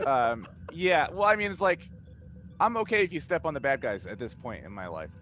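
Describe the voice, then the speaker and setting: A man's voice: medium pitch, very animated, normal speed, fairly bright tone, slight background noise. A man talking casually and emphatically as a podcast game master, often restarting his sentences. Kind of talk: casual group chat.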